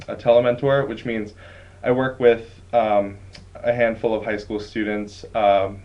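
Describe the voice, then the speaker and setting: A man's voice: lowish voice, highs cut off. A young male college student from Brooklyn, New York, an intern talking upbeat and casually to prospective students with many ums and likes. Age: young adult. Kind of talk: live online presentation to prospective students.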